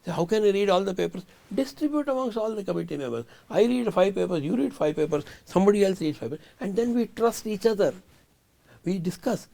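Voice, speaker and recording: An older male scientist makes his points with emphatic conviction and rhetorical questions. His pitch sits a little high and swings widely. The tone is mellow, with a gravelly edge. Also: expressive, fast. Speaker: guest scientist. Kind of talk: studio interview.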